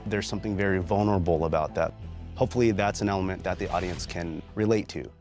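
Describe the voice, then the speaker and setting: Really low voiced guy chatting about making a movie, music behind him. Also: fast, a little mellow. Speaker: filmmaker interviewed in featurette. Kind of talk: interview commentary on a film.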